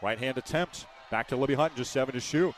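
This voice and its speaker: A man's voice: mid-range voice, quick pace. Man calling live basketball play-by-play. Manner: clipped, descriptive phrases. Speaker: radio play-by-play announcer.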